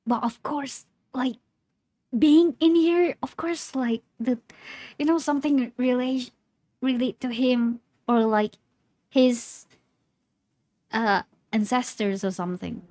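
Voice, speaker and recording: Slow-talking female streamer, dry close mic. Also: a little high, mellow, no echo. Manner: hesitant, repeating words as she thinks aloud. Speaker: streamer reacting to a game trailer. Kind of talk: casual live reaction commentary. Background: faint music from the trailer.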